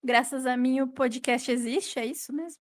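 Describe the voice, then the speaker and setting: Brief: thirtyish woman co-host, slightly high voice, dry playful humor. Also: steady tone, moderate pace. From São Paulo, Brazil. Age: 30s. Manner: wry, teasing humor. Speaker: podcast co-host. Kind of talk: casual podcast chat.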